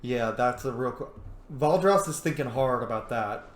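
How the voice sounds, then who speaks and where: A man's voice: mid-range voice, moderate pace. A man giving casual, slangy, reactive commentary while roleplaying through a video game. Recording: echoey room.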